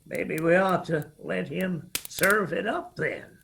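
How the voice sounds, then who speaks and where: Menacing folksy Appalachian older man, radio play; unhurried drawl, gravelly creak. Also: sits high, a bit bright, a little hiss or hum, heavily acted. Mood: folksy, sociable. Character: menacing, sly older feuding hill man, Uncle Jim Vance. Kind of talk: radio drama acting.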